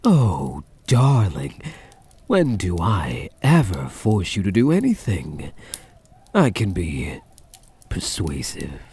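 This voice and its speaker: Vampire count played by a man, ASMR roleplay: lowish, swooping, soft-spoken purr, seductive, mocking, possessive, breathy. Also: exaggerated voice. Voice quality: husky, breathy. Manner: slow, teasing, mock-sympathetic purr.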